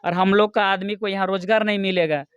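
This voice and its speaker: A man's voice: high-pitched, fairly even intonation, a little fast, fairly bright tone, smooth, dry recording, lo-fi sound. A man with a Jharkhand accent working as a field reporter, putting leading, prompting questions to villagers in a street interview. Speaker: field reporter for a local news channel.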